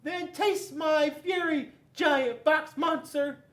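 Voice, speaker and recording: A man voicing a defiant, feisty paper warrior in a storybook, shouting shrill challenges. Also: fairly even intonation, slow pace. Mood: furious, defiant. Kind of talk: storybook read-aloud. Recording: echoey room.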